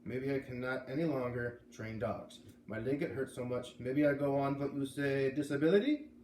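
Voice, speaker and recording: A man's voice: medium pitch, moderate pace, a little mellow, natural voice. A man voicing an angry, blustering dog trainer with a put-on German accent and broken English, in a read-aloud storybook. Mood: indignant, scheming. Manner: emphatic, blustering. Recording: echoey room, faint background noise.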